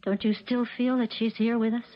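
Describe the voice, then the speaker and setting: An American woman playing an uneasy, wounded stepmother in a 1970s radio drama, speaking in a halting, earnest way. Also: fairly high pitch, level tone, lightly acted. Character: uneasy, hurt stepmother with eerie intuition. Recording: muffled 1970s radio recording.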